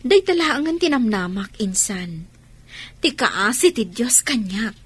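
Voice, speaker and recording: A woman's voice: a little high, expressive, measured pace, husky. A woman acting an anxious, teasing young student with a plaintive manner in an Ilocano Filipino radio comedy drama. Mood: worried.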